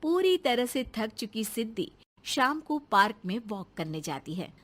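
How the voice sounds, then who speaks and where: A woman's voice: on the high side, sing-song, unhurried, a bit bright. A woman narrating a scripted cartoon story as its storyteller.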